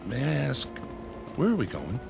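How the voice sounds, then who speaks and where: A man's voice: mid-range voice, wildly swooping pitch, normal speed, vocal fry, music in the background, heavily acted. An actor playing a polite, bewildered younger man, an obedient worker who speaks with deferential hesitance in an audio drama. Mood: cautious.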